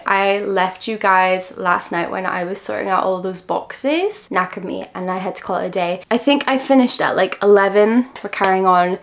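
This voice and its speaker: A woman's voice: medium pitch, gravelly. A woman, chatting casually to a handheld camera in her apartment, self-deprecating and full of "like" fillers. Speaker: lifestyle vlogger. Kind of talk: casual vlog chat to camera. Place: small apartment room, indoors. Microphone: handheld camera at arm's length.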